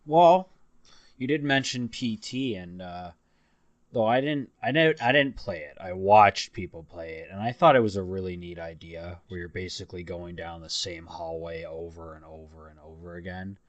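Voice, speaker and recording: A man with a deep voice talks casually as a podcast co-host. He is informal and a bit tentative in how he puts things. The sound is dry, with no room around it. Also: a bit bright, dry recording, lo-fi sound. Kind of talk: casual chat about games.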